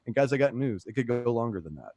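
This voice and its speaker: A man's voice: medium pitch, lively intonation, fast talker, warm tone, slight background noise. An American man co-hosting a livestream in a chatty, informal way, sometimes stumbling over the starts of words. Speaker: co-host. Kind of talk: casual livestream chat. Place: at home on a multistream video call.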